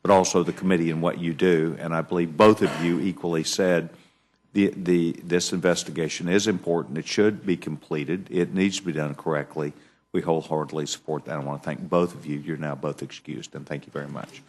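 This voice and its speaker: A man chairing a congressional committee hearing, formal with halting restarts. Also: a bass voice, steady tone, darkish tone. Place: hearing room.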